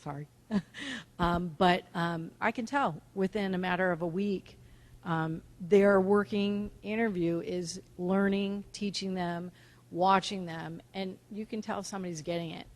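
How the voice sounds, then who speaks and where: A woman who owns a clinic speaks from the audience at a conference. She talks loudly and fills her sentences with "um." Her voice sits a bit lower than most women's. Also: steady tone, unhurried. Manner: loud, with many "um" fillers. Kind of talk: sharing experience from the audience. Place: conference room.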